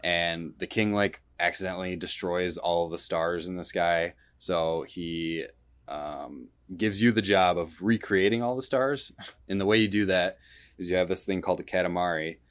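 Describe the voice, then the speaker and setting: A man's voice: deep voice, moderate pace, clean tone. A young man chatting casually about video games as a podcast host, in a rambling way full of hedges.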